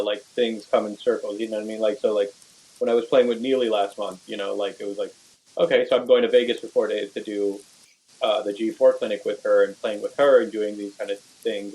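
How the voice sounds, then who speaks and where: Young male musician guest, low-pitched, easygoing, loose filler-heavy chat. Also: a little mellow, low-quality recording. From Venezuela. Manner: rambling, full of "like" and "you know". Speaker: guest bass player. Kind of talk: casual podcast interview.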